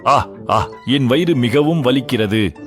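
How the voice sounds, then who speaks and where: A man's voice: mid-range voice, lively intonation, slow, faint music behind. A man voicing a lazy, sly, greedy village thief in a children's story, with theatrical exclamations. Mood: pained pleading. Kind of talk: children's moral story acting.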